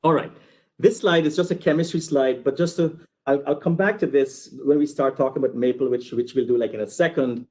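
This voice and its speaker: A man's voice: medium pitch, brisk, a little mellow. A man from Guyana giving an informal academic webinar lecture, explaining step by step with repeated words and asides. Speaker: university researcher giving a webinar.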